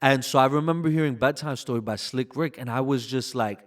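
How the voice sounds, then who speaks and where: A man's voice: mid-range voice, brisk. Young Brooklyn man telling stories. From Brooklyn, New York. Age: 20s. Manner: relaxed, reflective storytelling with frequent "like" and "you know". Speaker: bachata artist, guest. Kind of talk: casual interview conversation.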